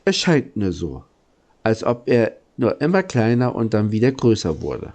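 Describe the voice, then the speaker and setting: Man reading as gentle old giant, slow and mellow. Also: lowish voice, wildly swooping pitch, exaggerated voice. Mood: patient, explaining. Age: older. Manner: courteous, earnest, halting explanations.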